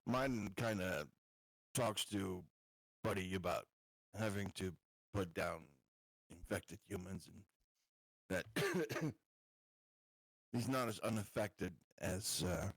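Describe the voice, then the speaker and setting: A man playing a tabletop game, his voice low and husky. He talks very slowly and quietly, with the odd cough. The sound is dry. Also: no room sound, poor audio. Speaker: player. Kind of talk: casual tabletop play.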